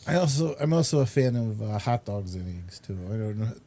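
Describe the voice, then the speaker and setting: A man hosting a casual chat interview, speaking in a halting, unhurried way. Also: on the low side, animated, warm tone. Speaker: show host. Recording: noticeable background noise.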